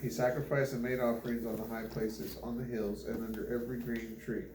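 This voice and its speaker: A man reads scripture aloud in a halting way, stumbling over words and repeating them. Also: mid-range voice, little pitch movement. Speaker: Bible reader. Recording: echoey room with clear background noise.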